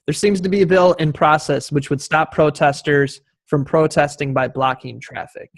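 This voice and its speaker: A man's voice: on the high side, steady tone, moderate pace. A man moderating an online town hall informally, passing questions along to the panelists.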